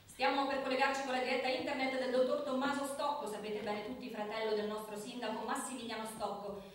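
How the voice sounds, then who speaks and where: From off in an echoey room, a woman acting as a brisk TV newswoman talks in a high voice. She is businesslike, controlling and firmly polite, in radio drama style. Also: level tone, close to an everyday voice. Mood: formal, presenting. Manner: businesslike, polite but firm. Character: brisk, controlling female television news journalist. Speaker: actor in a radio play. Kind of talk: naturalistic radio drama, TV news presenting. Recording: echoey room, distant mic, faint noise.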